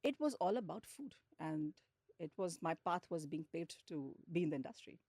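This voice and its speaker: Woman, Indian accent, low pitch, conversational interview guest, dry recording. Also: expressive, moderate pace, darkish tone, dry recording. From India, grew up in Lucknow and Hyderabad. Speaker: podcast guest, hospitality executive.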